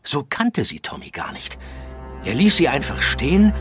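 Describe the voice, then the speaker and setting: A man's voice: medium pitch, wildly swooping pitch, normal speed, music in the background. A man narrating a children's audio drama as a storyteller, in scripted storybook style. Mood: storytelling. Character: storybook narrator telling a children's ghost tale.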